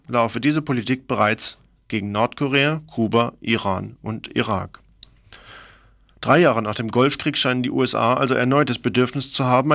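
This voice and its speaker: Man, radio commentator, mid-pitched, gravelly creak, explanatory delivery, wry ironic asides, dry close sound. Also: a bit bright, no echo. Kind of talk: scripted political radio commentary.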